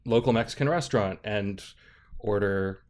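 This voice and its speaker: Low-voiced man explaining with steady care. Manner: measured, thoughtful, frequent "right?" checks. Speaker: linguistics professor guest. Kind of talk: explanatory interview talk.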